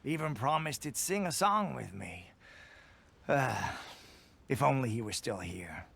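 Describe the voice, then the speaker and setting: A man voicing a wistful, grieving soldier in a video game, gravelly and sorrowful. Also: medium pitch, expressive, measured pace, a bit bright, a little hiss or hum. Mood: wistful, sad. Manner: sighing, sorrowful.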